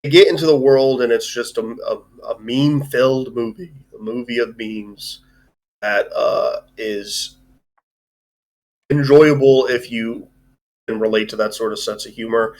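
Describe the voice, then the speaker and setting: A man's voice: mid-range voice, steady tone, a little slow, a little mellow. A man hosting a live-streamed film discussion in an informal, rambling way with some swearing. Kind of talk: casual chat, film review.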